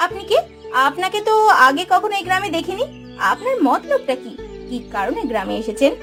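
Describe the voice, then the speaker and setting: Woman doing a caring big sister in a cartoon, high voice, swings a lot, pleading n scolding. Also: sing-song, fairly quick, pushed and bright, faint music behind, heavily acted. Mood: suspicious. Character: burdened, caring eldest sister running a poor village household. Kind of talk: melodramatic cartoon voice acting.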